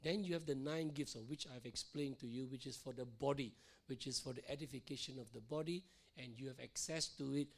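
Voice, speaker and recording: A middle-aged male pastor preaching in a church, hoarse from losing his voice, repeating phrases and checking in with "all right?" and "okay?". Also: medium pitch. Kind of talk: sermon teaching.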